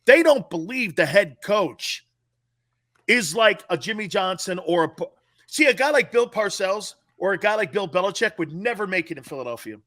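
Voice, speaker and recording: Man, late fifties, high-pitched, bright tone, animated, rambling, joking sports talk. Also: moderate pace. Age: late 50s.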